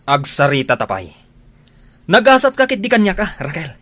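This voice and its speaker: A man's voice: high-pitched, big pitch swings, moderate pace, bright, forceful tone, slight background noise, heavily acted. A man acting a domineering, suspicious husband in a radio drama, contemptuous and boastful. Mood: boastful, tipsy.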